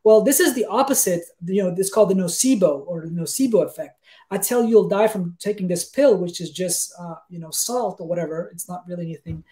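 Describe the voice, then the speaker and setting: A man in his thirties with a soft-edged, mellow voice. He explains as a guest researcher on a video call, talking conversationally in emphatic, halting phrases and checking in as he goes. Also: high-pitched. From Denmark. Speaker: guest expert, sleep researcher. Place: small room with bookshelves, on a video call.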